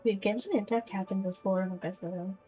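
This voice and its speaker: A woman's voice: medium pitch, fairly even intonation, fast. A woman VTuber casually commenting as she plays a game and reads some dialogue aloud. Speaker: streamer, VTuber. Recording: game music behind the voice.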